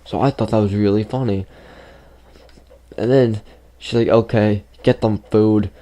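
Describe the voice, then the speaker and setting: A man's voice: lowish voice, steady tone, unhurried, mellow. Rambling teen boy with a fading voice. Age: teens. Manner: rambling, full of "like" fillers. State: losing his voice. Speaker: gaming YouTuber. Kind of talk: casual storytelling over gameplay.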